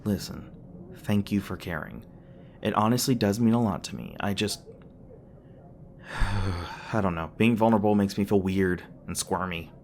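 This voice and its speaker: A man's voice: fairly low pitch, slight background noise. A man playing a flustered, tender demon boyfriend in an intimate ASMR roleplay, speaking hesitantly with stammers, bashful and a little defensive. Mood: sheepish, sincere. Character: flustered, tender young male demon boyfriend, secretly human-born.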